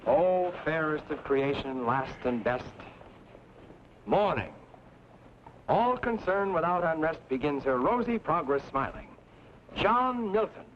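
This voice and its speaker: An optimistic, bookish frontier printer for a western drama; florid, theatrical delivery, slightly noisy dull recording. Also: male voice, on the high side, big pitch swings, takes it slowly, pauses often. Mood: cheerfully grandiloquent. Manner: theatrical, grandiloquent, quotes poetry and scripture. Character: genial, bookish middle-aged frontier printer, philosophical optimist. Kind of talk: old-time western drama acting. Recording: dull lo-fi recording, faint noise.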